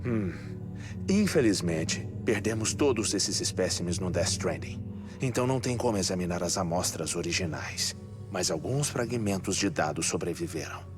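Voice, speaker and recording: A man with a Brazilian accent playing an earnest scientist in a dubbed game cutscene, explaining in a deliberate, lecturing, emphatic way. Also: sits low, animated. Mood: measured, explanatory. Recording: loud music behind voice. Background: cinematic game music.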